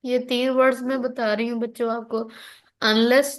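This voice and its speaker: High-pitched Pakistani woman teaching law. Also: level tone, moderate pace. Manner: instructional, checks understanding often, mixes in English legal terms. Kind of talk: online lecture, explaining and reading out legal articles.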